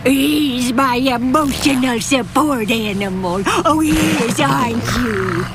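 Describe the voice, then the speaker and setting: A woman's voice: high voice, slow. A woman voicing an indignant lady bus passenger who dotes on her pet in a cartoon. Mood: indignant, doting. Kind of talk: exaggerated cartoon voice acting. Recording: loud background music over dialogue.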